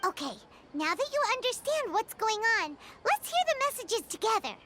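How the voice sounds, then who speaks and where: A woman playing a tiny, excitable, childlike fairy companion in a video game, chirpy and exclamatory. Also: very high-pitched, normal speed, very noisy. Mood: amazed. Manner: chirpy, exclamatory, refers to herself in third person. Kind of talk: video game character dialogue.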